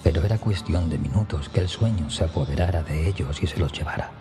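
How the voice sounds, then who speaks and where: A man narrating a scripted first-person horror story in a hushed, breathy manner that often nears a whisper, with music behind him. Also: a bass voice, quick pace. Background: background music.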